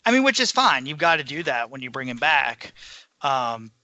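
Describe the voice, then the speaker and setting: A man's voice: medium pitch, big pitch swings, moderate pace, sharp tone, no echo, muffled recording. A man co-hosting a book podcast, chatting casually about what he has read. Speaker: podcast co-host discussing books.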